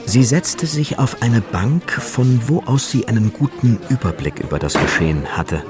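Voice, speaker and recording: An actor playing a grave storyteller, reading scripted narration for a suspense radio play. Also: male voice, mid-range voice, expressive, normal speed, music in the background, a crowd in the background, lightly acted. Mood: measured, descriptive.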